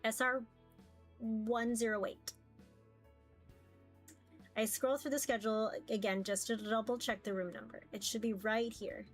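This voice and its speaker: Higher-pitched woman narrating a visual novel. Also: a little slow, long pauses. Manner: expressive, occasionally stumbling over words. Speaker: VTuber reading a game aloud. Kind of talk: expressive first-person visual novel narration. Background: music.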